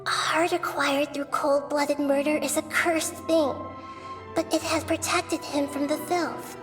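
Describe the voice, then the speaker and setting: Girlish wise deity, high-pitched, calm, earnest, measured storytelling, video game character, soft music behind. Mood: solemn storytelling. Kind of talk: video game dialogue and narration. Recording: background music under voice.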